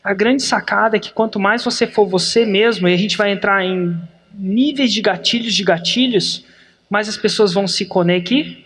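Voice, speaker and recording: A middle-aged man from Brazil hosting a podcast, teaching in an energetic, emphatic way, slowing down hard to stress points. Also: sits high, breathy edge. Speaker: podcast host. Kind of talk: animated business coaching talk.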